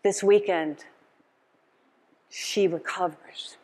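A woman's voice: on the low side, steady tone, extremely slow, a little mellow, in a large hall. A middle-aged woman speaking passionately and emphatically in a motivational keynote, repeating phrases like a preacher. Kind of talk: motivational keynote address with call and response. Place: conference stage, indoors, before an audience.

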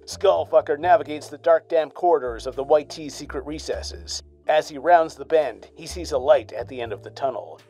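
A man announcing in an over-the-top 1940s radio serial manner, pressing hard on each cliffhanger. His voice is a touch high for a man, and soft music runs underneath. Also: normal speed. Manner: dramatic announcer delivery. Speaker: narrator and announcer.

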